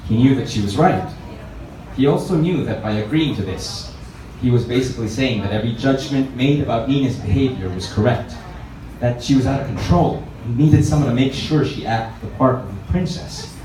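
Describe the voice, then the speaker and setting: Guy reading a novel out loud, soft mellow tone, distant mic, roomy echo. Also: mid-range voice, normal speed. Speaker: narrator, book reader. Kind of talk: expressive story narration read aloud. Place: reverberant room at a live reading event. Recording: echoey room, distant mic, faint noise.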